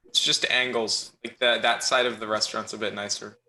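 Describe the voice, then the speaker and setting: A young man with a bright, forward voice on the low side. He chats casually over a video call. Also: flat delivery, a little fast, slight background noise. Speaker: student. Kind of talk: casual chat. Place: at a computer with two monitors, on a video call.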